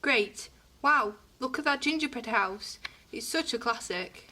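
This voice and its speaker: A woman's voice: on the high side, lively intonation, a little slow, sharp tone, a little hiss or hum. A woman playing a wide-eyed, questioning young girl in a fairy-tale radio drama. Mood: amazed.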